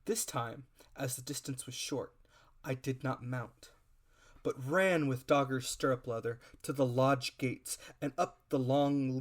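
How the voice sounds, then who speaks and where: Male narrator, mid-range voice, dramatic reading, scripted storytelling. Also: medium pitch. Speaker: audiobook reader.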